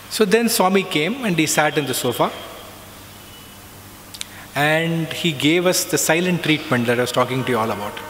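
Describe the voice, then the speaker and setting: A middle-aged man tells a reflective personal story, often pausing with "uh" and "so". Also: fairly high pitch, a bit bright. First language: not Hindi. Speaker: alumnus giving a talk. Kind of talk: personal spiritual talk. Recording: long reverb, faint background noise.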